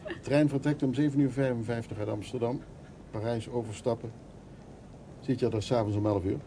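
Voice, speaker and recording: An older male actor playing a stubborn, world-weary but fond war survivor in a radio drama, plain-spoken, with a husky, creaky voice. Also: medium pitch, steady tone, a little fast, background noise, close to an everyday voice. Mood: affectionate, eager. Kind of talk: radio drama acting, reminiscing and intimate dialogue.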